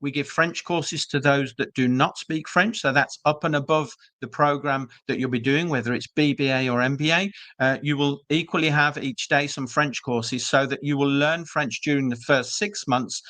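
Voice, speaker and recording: Chatty British man, bright voice. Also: medium pitch, dry recording. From Britain. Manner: chatty, reassuring, frequent ums and uhs. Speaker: webinar presenter for a business school. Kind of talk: online webinar presentation. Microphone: video call.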